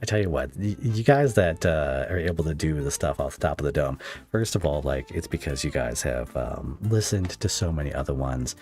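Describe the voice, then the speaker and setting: A man's voice: rumbling low, lively intonation, fairly quick, darkish tone. A man hosting a casual interview, speaking informally with somewhat hesitant phrasing. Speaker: podcast host, interviewer.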